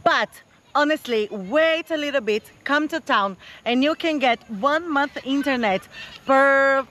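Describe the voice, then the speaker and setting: A woman from Portugal with Portuguese as her first language, chatting casually as a travel vlogger, playful and quick to laugh. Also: high voice, fairly bright tone, a little hiss or hum. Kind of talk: casual chat. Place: outdoors.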